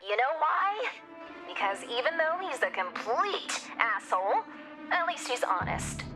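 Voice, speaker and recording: A woman's voice: high-pitched, very animated, measured pace. A woman playing a fierce, blunt, foul-mouthed female rogue in an emphatic video game performance. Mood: scornful, angry. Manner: sharp-tongued, emphatic, exasperated. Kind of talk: animated video game cutscene acting. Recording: loud music behind the voice. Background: music.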